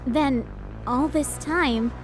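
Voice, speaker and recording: Eager young rookie cop girl, super high voice, some music underneath. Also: drawn-out words, low-quality recording. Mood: curious. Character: excitable, earnest young female rookie cop. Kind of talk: audio drama acting.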